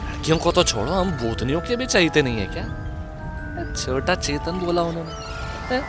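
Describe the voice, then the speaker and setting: Animated, cheeky comic sidekick played by an actor. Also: male voice, on the high side, moderate pace, over music, natural voice. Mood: pleading. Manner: earthy street-slang banter. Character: cheeky, lecherous comic sidekick man, whiny and crude. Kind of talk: horror-comedy audio drama.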